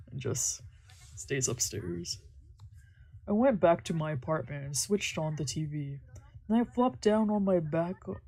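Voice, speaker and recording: Female let's play streamer, low-set mellow voice, reads story text with casual playful asides. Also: slightly rough. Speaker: streamer doing a visual novel let's play. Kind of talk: reading game text aloud with casual reactions.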